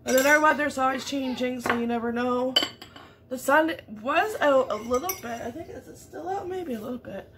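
A woman's voice: high voice, normal speed, noisy background. A woman in her thirties chatting casually to camera in her home kitchen, rambling and laughing often. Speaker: vlogger. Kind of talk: casual morning chat to camera.

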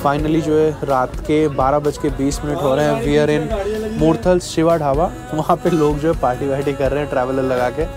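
A man in his thirties speaks in a notably high voice. He chats casually as a travel vlogger on the road at night, with music behind his words. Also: fairly even intonation, normal speed. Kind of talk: casual travel vlog narration. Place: out of doors at night and aboard a bus.

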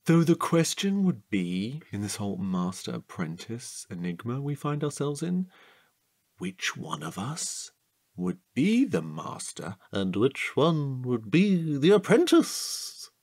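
Excitable, chatty comic sidekick. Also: male voice, mid-range voice, slow pace, exaggerated voice. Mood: excited, nerdy. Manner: rambling, theatrical, eager, with gasps. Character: chatty, excitable, needy male sidekick, easily sidetracked. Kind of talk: comic audio drama dialogue.

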